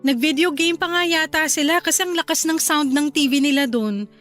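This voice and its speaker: An actress playing a gentle, caring young newlywed wife in a radio drama, speaking softly and affectionately. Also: female voice, sits high, normal speed, soft background music. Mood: mildly bothered. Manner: soft, affectionate and considerate.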